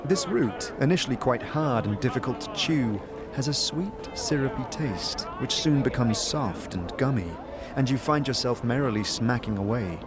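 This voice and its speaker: A man narrating a fantasy audio drama in a measured, descriptive storytelling manner. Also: mid-range voice, expressive, over music, natural voice. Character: male storyteller of a grim fantasy adventure. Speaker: narrator and protagonist. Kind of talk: scripted second-person fantasy narration.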